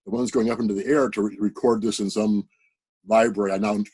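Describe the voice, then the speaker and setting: A man's voice: on the low side, steady tone, brisk, darkish tone, husky. A man on a video call earnestly telling a personal spiritual story in a plain, direct way. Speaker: participant sharing a story.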